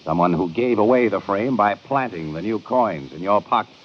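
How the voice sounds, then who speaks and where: An actor playing a shrewd, authoritative police inspector in an old radio crime drama, speaking deliberately and accusingly. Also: male voice, fairly low pitch, expressive, lightly acted. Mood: measured, knowing. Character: shrewd, commanding male police inspector exposing a killer. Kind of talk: 1950s radio drama acting. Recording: muffled, hissy old radio broadcast.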